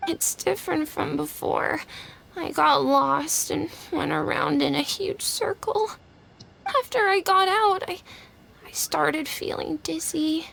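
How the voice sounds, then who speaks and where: A woman's voice: high voice, fairly even intonation, slow pace, vocal fry, a bit bright, slight background noise, full character voice. A woman voicing a sick, frightened, melodramatic young child in a video game. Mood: sheepish, weak.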